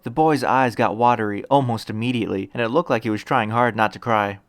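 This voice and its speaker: A man's voice: mid-range voice, a little fast, no room sound. Man, story narrator, scripted reading, mid-range voice, very little room sound.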